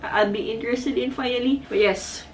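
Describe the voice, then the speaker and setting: A woman's voice: fairly high pitch, steady tone, moderate pace, slight background noise. Filipino woman, 30s, casual home vlogger, chatty, mixes Tagalog into English. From Philippines. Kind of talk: casual vlog talk. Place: her bedroom at home.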